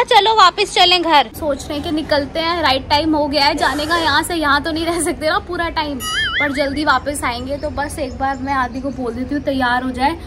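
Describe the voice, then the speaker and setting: A young woman with a high, bright, crisp voice and a slightly sore throat chats cheerfully for a vlog. Faint noise can be heard behind her. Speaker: co-vlogger. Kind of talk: casual vlog chat.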